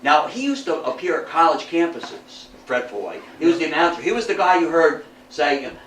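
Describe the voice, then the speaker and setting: An older man with a slightly high voice talks informally to a group in an echoey room. He sounds folksy and halting, repeating words as he reminisces. The microphone is some distance away. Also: big pitch swings, a bit bright. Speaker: guest speaker at a local history talk. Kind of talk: informal talk with audience discussion, reminiscing. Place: meeting room with an audience. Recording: echoey room, distant mic, background hiss.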